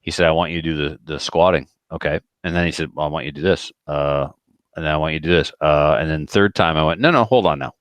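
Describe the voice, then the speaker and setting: A man's voice: a bass voice, fast talker, clean tone, no echo. A man chatting casually on a podcast in a rambling, colloquial way, often slipping into quoted dialogue. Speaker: podcast speaker who organizes shooting matches.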